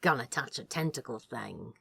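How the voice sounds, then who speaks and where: A woman's voice: a bass voice, expressive, normal speed, creaky, bright, forceful tone, husky, heavily acted. A woman voicing a laid-back, shyly charmed man with a casual drawling manner in a fan audio drama. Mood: amused wonder.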